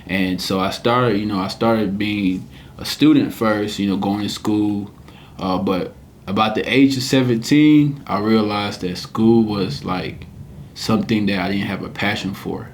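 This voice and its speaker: Podcast guest: man, 20s, from Cameroon, low voice, earnest and reflective. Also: a little slow, a little hiss or hum. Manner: earnest, reflective storytelling with many "man"s and "you know"s. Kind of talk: casual interview chat.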